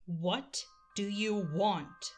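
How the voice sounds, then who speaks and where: A woman playing a fierce, lonely young fire dragon with a hot temper in an audio drama, forceful and defensive. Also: mid-range voice, extremely slow, faint music behind. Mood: wary.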